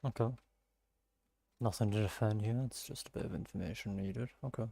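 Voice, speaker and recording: A man's voice: fairly low pitch, steady tone, fairly quick, vocal fry, warm tone. A man giving casual gameplay commentary, musing aloud to himself in short reactions. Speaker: gamer playing through a story quest. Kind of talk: casual game playthrough commentary.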